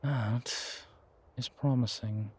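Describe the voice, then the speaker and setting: A man playing a sardonic, weary vampire in an audio roleplay, speaking in a measured, formal, wry way. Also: medium pitch, steady tone, darkish tone, slightly rough. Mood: musing, calm. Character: sardonic, weary male vampire, protective of a little companion. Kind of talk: scripted audio roleplay drama.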